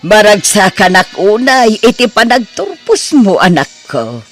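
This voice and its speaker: A man's voice: high-pitched, sing-song, unhurried, a bit bright, soft background music, heavily acted. A man voicing a proud, doting mother in an Ilocano audio drama. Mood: proud, joyful.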